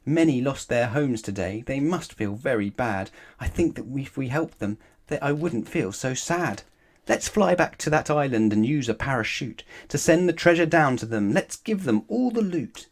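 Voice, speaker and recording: A man's voice: mid-range voice, animated, moderate pace. Man reading as an eager, kind-hearted girl pilot. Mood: caring.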